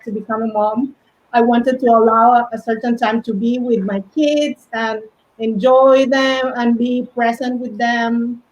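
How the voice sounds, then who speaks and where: Colombian-accented woman, 40s, interview guest; slow, hesitant, slightly high voice, dull audio. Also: a little mellow. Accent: Colombian Spanish. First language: Spanish. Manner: halting, many fillers and restarts. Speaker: guest, fashion stylist. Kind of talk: casual interview chat.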